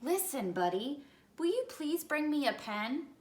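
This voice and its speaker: A woman's voice: high-pitched, big pitch swings, unhurried, a little mellow, roomy sound, voice pushed up. A woman voicing patient but exasperated rabbit parents from a storybook, instructing insistently and carefully.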